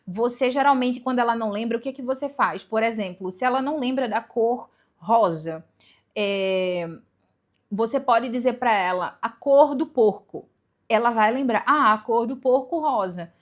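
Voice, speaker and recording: A young woman, giving casual advice to camera in a chatty way, with rhetorical questions and self-corrections. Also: on the high side. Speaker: vlogger giving language-learning tips.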